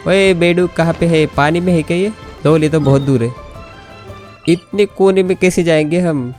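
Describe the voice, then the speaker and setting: Very fast-talking Indian male gamer. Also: a little high, darkish tone, faint music behind. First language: Hindi. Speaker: gaming YouTuber. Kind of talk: casual gameplay commentary.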